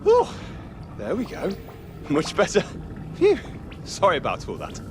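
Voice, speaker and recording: Pompous, highly animated talking brain. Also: male voice, measured pace, over music. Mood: relieved. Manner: pompous, florid, genteel. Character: erudite, theatrical talking brain, now a burping gun. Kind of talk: video game character voice acting.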